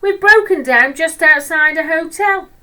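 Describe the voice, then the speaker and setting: Woman putting on a loud, cocky schoolboy troublemaker. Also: extremely high pitch, expressive, unhurried, pitched above the performer's own voice, heavily acted. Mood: sly, confident. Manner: loud, swaggering delivery. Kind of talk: children's storybook reading.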